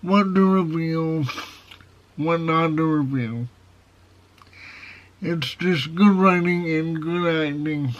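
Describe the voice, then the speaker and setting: A man with a nasal, foghorn-like voice reviewing a movie in a casual video. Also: a little high. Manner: slow, measured delivery with plain opinions. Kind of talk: casual film review to camera. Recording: dull, lo-fi sound.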